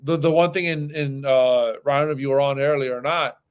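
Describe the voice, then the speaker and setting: A man's voice: medium pitch, fairly even intonation, moderate pace, clear, resonant tone. A man in a casual discussion show who speaks earnestly, repeats phrases and keeps checking in with "right?". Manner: earnest, repeats himself, many "right?" tags.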